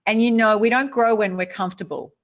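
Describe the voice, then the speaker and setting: A woman's voice: fairly high pitch, steady tone, quick pace. A middle-aged woman with an Australian accent, speaking earnestly and reflectively as an author guest over a video call, often restarting her sentences. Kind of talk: casual interview chat.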